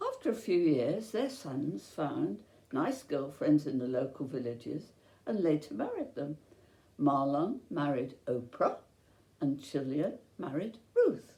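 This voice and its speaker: A woman telling a children's bedtime story as host, speaking in a gentle, deliberate and expressive way for young listeners. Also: low-pitched. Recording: roomy, echoey sound.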